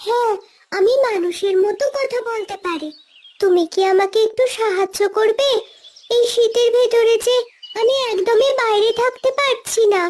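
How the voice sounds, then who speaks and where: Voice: very high-pitched, steady tone, moderate pace, darkish tone. An actor voicing a talking chicken in a cartoon, pleading plaintively for help. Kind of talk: cartoon voice acting.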